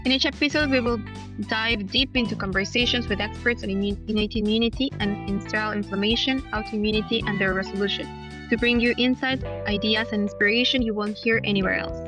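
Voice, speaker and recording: A woman hosts a science podcast in a mid-range voice, reading her scripted welcome. Clear guitar music plays behind her. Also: medium pitch, fairly even intonation, brisk. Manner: reads intro from a script. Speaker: podcast host. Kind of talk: scripted intro and interview questions.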